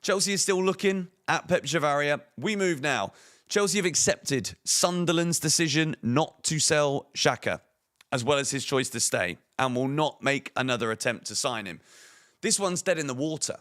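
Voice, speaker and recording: A man hosts a football fan channel, his voice hoarse and croaky. He reads out transfer news and breaks into emphatic, opinionated rhetorical questions. His pitch sits a touch above the usual for a man. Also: lively intonation, normal speed, a bit bright.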